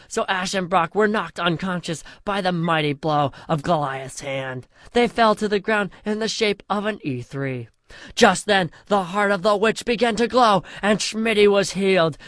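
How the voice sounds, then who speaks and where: A young man reading a crude silly fan story aloud in a deadpan way, slowing down for dramatic chapter titles. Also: sits high, dry recording, clean studio sound. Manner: deadpan crude comic narration with dramatic slowdowns.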